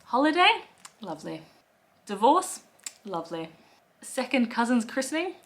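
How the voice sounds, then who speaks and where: A woman in her thirties with an Australian accent, chatting wryly to camera from her living room, with soft laughs and fillers. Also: on the high side, big pitch swings, moderate pace. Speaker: lifestyle vlogger. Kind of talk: casual talk to camera.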